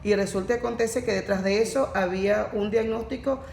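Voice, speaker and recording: A woman talks through neurodiversity cases in a relaxed podcast chat, her voice gravelly with fry. She explains patiently and slips into quoted stories. The sound is roomy and echoey, with faint noise underneath. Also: mid-range voice, brisk. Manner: explanatory, tells anecdotes with quoted speech. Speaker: neurodiversity course facilitator. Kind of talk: podcast conversation.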